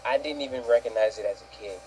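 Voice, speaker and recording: A man's voice: medium pitch, quick pace, loud music behind the voice, rough recording. A man in casual chat, speaking bluntly with crude slang. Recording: clear background noise.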